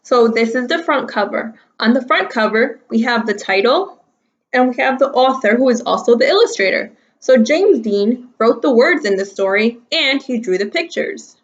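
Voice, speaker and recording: A woman teacher reading a picture book aloud to young children in a deliberate, sing-song storytelling manner. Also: fairly high pitch, lively intonation. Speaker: primary school teacher. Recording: slightly dull, lo-fi sound.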